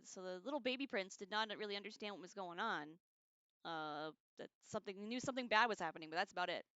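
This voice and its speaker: A woman hosting a casual livestream read-along, expressive and informal, reading aloud and adding chatty, slangy asides. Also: mid-range voice, no echo, muffled recording. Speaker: livestream host reading a book aloud with commentary.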